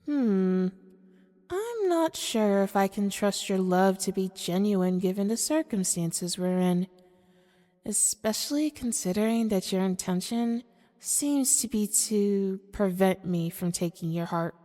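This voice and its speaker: A woman's voice: mid-range voice, lively intonation, warm tone, natural voice. Woman voicing a seductive demon in cavernous reverb. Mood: amused, taunting. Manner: formal, deliberate, coaxing. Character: seductive, manipulative female demon hungry for hearts. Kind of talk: scripted ASMR audio roleplay monologue.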